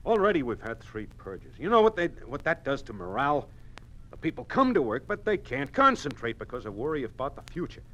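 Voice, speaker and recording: Anxious, agitated 1950s radio husband. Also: male voice, medium pitch, big pitch swings, bright, forceful tone, low-quality recording. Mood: exasperated, anxious. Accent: American. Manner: halting, agitated delivery, repeating words. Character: distraught middle-aged husband, anxious plant manager named Elliot. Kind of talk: 1950s radio drama acting.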